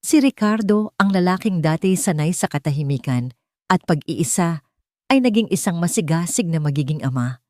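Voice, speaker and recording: Low-voiced woman narrating a dramatic story. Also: expressive, normal speed, darkish tone, no echo, studio quality.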